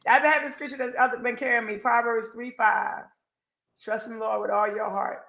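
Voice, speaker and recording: A woman in her forties hosts a casual podcast chat over a video call. Her voice is bright and forceful, and it bounces around a roomy, echoey space. She leans on repetition and talks about faith. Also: fairly high pitch, moderate pace, gravelly. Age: 40s. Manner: animated, repeats phrases, faith-filled talk. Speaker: podcast host. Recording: echoey room.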